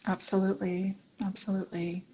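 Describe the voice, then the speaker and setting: A woman hosting a reflective conversation, speaking earnestly and warmly affirming her guest, recorded on a remote call mic. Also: mid-range voice, level tone, fairly quick, husky, lo-fi sound. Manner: earnest, affirming, many soft agreements. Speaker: podcast host.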